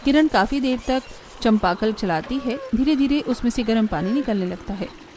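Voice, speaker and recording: A woman's voice: on the high side, expressive, normal speed, darkish tone, slight background noise. A woman reading scripted narration for a cartoon family story, as the storyteller.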